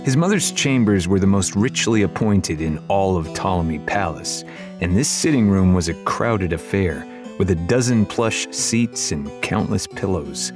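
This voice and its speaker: Low-voiced man narrating a fantasy audiobook. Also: animated, moderate pace, music in the background. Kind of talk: scripted fantasy story narration.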